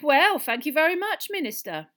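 A woman voicing a chatty, curious female pub landlady, gossipy and expressive, in a storybook. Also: sits high, moderate pace, pitched above the performer's own voice, full character voice. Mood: welcoming.

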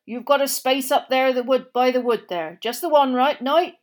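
A woman voicing a gruff, suspicious man who runs a campsite, blunt and plain-spoken, louder than her narration. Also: fairly high pitch, expressive, measured pace, exaggerated voice. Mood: wary.

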